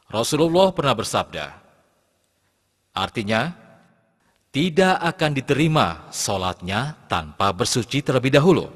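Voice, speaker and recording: A man playing a patient Islamic studies teacher who addresses pupils in a measured, instructive way in a scripted cartoon lesson, recorded with long reverb. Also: mid-range voice, fairly bright tone. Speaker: religious teacher.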